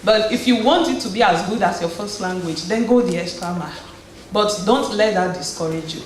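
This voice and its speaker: A woman's voice: medium pitch, brisk. A woman from Nigeria, giving a conversational personal testimony as a guest speaker and encouraging her audience, often restarting her phrases. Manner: conversational storytelling with frequent restarts. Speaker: guest speaker at a community event. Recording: very echoey hall with faint noise.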